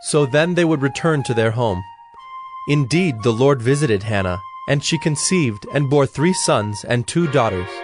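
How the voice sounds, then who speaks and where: Male narrator, mid-range pitch, scripted scripture storytelling, music underneath. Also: animated, unhurried. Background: background music.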